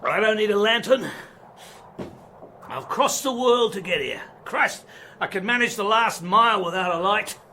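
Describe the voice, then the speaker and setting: High, swaggering drunken man. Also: animated, moderate pace, sharp tone, slight background noise. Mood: drunk, defiant. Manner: swaggering, slurred and boisterous. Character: boastful drunken man back from New Zealand, loud. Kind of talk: radio drama acting.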